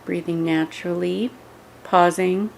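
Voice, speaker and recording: A woman giving scripted guided yoga meditation instructions in a measured way, pausing between short phrases. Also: on the low side, fairly even intonation, vocal fry, slight background noise, rough recording.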